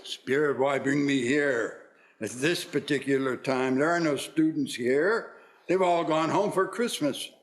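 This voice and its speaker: A man playing an excitable, wistful old miser in a theatrical radio play, exclaiming and stammering when moved. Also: a little high, measured pace, natural voice. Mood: subdued.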